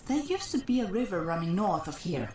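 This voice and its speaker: A woman's voice: on the low side, sing-song, brisk, darkish tone, slight background noise. An echoing, blunt ghost woman. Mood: matter-of-fact. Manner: folksy, blunt, urgent. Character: blunt, worried female ghost companion. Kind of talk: audio drama acting, Old West supernatural. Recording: very echoey, distant, music behind.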